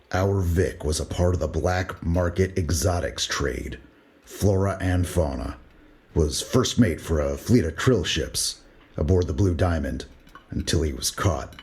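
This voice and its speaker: A starship lieutenant with an extremely deep voice, played by a man, delivering his report in a steady, matter-of-fact way. Audio drama acting. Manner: measured, matter-of-fact briefing. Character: lieutenant and fighter pilot on a starship.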